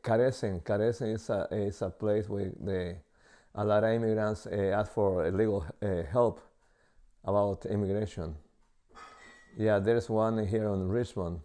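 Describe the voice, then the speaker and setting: Halting older man, Salvadoran Spanish accent. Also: on the low side, steady tone, mellow. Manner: halting, many uh fillers and restarts. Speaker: interviewee. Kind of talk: casual interview answers.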